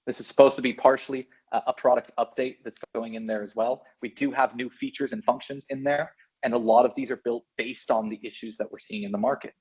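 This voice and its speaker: Husky man with an American-sounding accent. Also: mid-range voice, darkish tone. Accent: American-sounding English. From Belgium. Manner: upbeat, chatty, self-deprecating. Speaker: channel business manager presenting a product. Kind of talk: product presentation. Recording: dull lo-fi sound.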